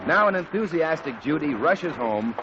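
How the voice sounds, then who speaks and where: A male radio announcer reading a scripted ad in a smooth, persuasive way. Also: a little high, very animated, moderate pace, very noisy. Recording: dull old radio broadcast.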